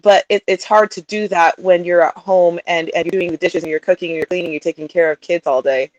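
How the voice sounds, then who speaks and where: A woman's voice: on the low side, steady tone, a little fast, dry recording, highs cut off. A woman in her thirties, a guest in a casual interview, chatting about her life with frequent laughter. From Utah, raised also in Sweden and England. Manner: chatty, laughs often, warm storytelling. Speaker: guest, coaching client.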